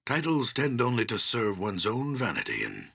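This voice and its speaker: Fantasy game archdruid, elderly: solemn wise counsellor, theatrical and formal, with swooping intonation and a bright, forceful edge. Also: male voice, fairly low pitch, wildly swooping pitch, gravelly, slightly rough, exaggerated voice. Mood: humble, courteous. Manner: measured, formal, theatrical delivery.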